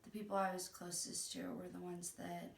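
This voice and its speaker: A woman's voice: fairly low pitch, completely flat delivery, normal speed, gravelly, a little hiss or hum. A woman in her twenties speaking hesitantly in a documentary interview, with many ums and likes. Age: 20s. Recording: echoey room, from a distance.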